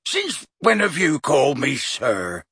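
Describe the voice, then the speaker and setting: A man voicing a huge, gruff groundskeeper from a storybook, indignant and blustering, roaring and growling. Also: fairly high pitch, huge pitch swings, takes it slowly, pushed and bright, no room sound, clean studio sound, exaggerated voice. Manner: roaring and growling, sarcastic when cross.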